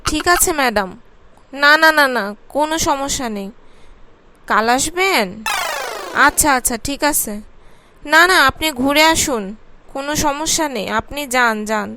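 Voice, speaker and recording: A woman's voice: high-pitched, expressive, normal speed, long pauses, background noise. A woman voicing a scolding, shrewd cartoon mother with a nagging, exasperated manner. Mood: chatty phone talk. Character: scolding, shrewd mother of a naughty little boy. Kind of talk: comic cartoon voice acting.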